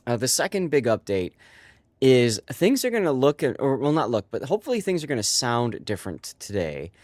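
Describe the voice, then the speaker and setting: A man's voice: mid-range voice, big pitch swings, fairly quick, darkish tone, no echo, clean studio sound. A young adult man with a stuffy, congested voice, chatting casually and rambling with lots of fillers on a live stream from his home setup. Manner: rambling, many fillers and restarts.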